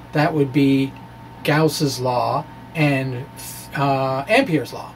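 A middle-aged man presenting a physics blog as a dramatic reading, with deliberate, theatrical phrasing. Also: fairly high pitch, rough recording. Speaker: science blogger and presenter. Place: small indoor room with bookshelves. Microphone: microphone on a stand in front of him. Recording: clear steady background noise.

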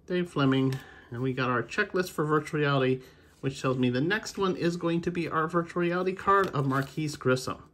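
A man's voice: fairly high pitch, brisk, fairly bright tone, a little hiss or hum. An American man chatting casually and unscripted, rambling a little as he thinks aloud like a hobby video host. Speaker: video host, baseball card collector. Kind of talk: casual unscripted chat, commentary while opening card packs.

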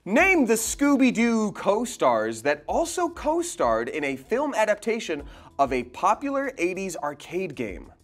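Young man hosting, lively and animated. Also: high-pitched, measured pace. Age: young adult. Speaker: quiz show host. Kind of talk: casual, jokey game show hosting. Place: indoor studio set.

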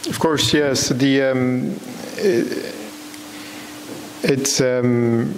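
A man in his thirties from Belgium, a documentary filmmaker, giving a halting, unscripted answer on stage with repeated false starts. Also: medium pitch. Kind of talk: unscripted answer at a public talk. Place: on stage in a large dark hall with an audience. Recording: echoey hall with clear background hiss.

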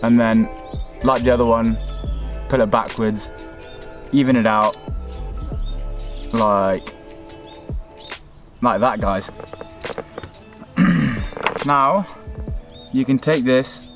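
A man's voice: mid-range voice, very slow, long pauses, faint music behind. A young man hosting a fishing vlog, talking viewers through his steps in a casual, chatty way. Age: young adult. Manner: chatty, addresses viewers as folks and guys. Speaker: fishing channel host. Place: outdoors by a river. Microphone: handheld camera at arm's length.

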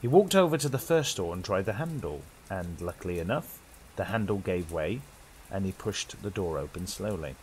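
British male horror host, theatrical and suspenseful, pitch swinging widely, faint rain-like ambience behind. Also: lively intonation, normal speed, a little mellow, slight background noise, poor audio. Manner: dramatic, suspenseful delivery. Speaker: horror story host and narrator. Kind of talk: theatrical scripted horror narration inspired by old radio.

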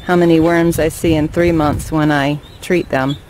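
A woman's voice: low-pitched, fairly even intonation, moderate pace, background noise. A woman keeping backyard chickens, talking in a plain, matter-of-fact way with some ums as she explains, filmed outdoors on a handheld camera. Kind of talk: casual informational vlog.

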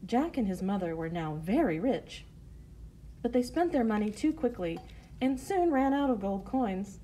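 Mellow woman narrating a children's story. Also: medium pitch, animated, normal speed, a little hiss or hum.

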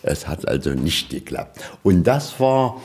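A man's voice: low voice, sing-song, measured pace, darkish tone. A man explaining exhibits informally as a museum guide, conversational and a little rambling.

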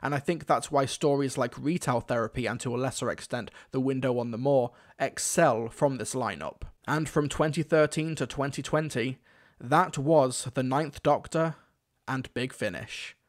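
A man's voice: mid-range voice, normal speed. A man giving opinionated, semi-scripted review commentary, leaning on key words for emphasis. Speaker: video reviewer.

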